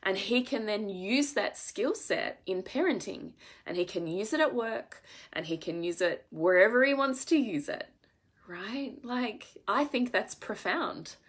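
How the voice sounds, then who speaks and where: A woman's voice: mid-range voice, lively intonation, a little slow. Congested woman in an earnest live ramble. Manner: earnest, emphatic, swears for emphasis. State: congested head cold. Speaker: women's coach. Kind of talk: live-stream monologue.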